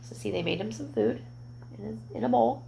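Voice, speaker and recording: A woman reading a picture book aloud to young children, expressive, with gentle explanatory asides. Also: fairly high pitch, fairly quick, gravelly, a little hiss or hum, poor audio.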